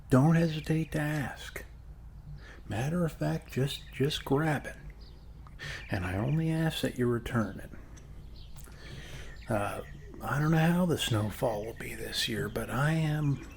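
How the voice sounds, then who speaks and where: A man speaking softly and unhurriedly in a friendly, casual ASMR roleplay, as a neighbor chatting one-on-one, with frequent pauses. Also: medium pitch, creaky, slight background noise. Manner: soft-spoken, friendly, unhurried, pausing often. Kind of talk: ASMR roleplay, one-sided casual chat over coffee.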